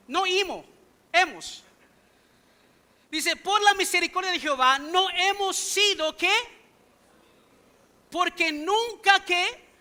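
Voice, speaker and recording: High, bright-voiced male pastor preaching. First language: English. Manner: emphatic, full of rhetorical questions to the congregation. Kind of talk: sermon. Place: church sanctuary.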